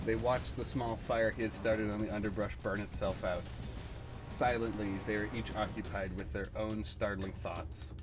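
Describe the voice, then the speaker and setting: A man's voice: on the low side, fairly even intonation, normal speed, music in the background. A man giving dramatic, scripted comic-book narration in a portentous manner.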